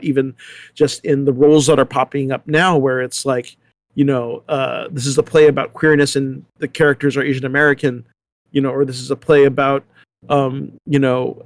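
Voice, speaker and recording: A man hosting a casual panel discussion, thinking aloud in a halting way and often repeating his words. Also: mid-range voice, no room sound. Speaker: discussion host.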